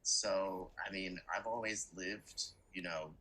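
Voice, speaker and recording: A man's voice: sits low, steady tone, takes it slowly, bright, forceful tone, a little hiss or hum, rough recording. A young man from America talking casually and emphatically in a group conversation, informal and talkative. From United States. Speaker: student participant in a group discussion.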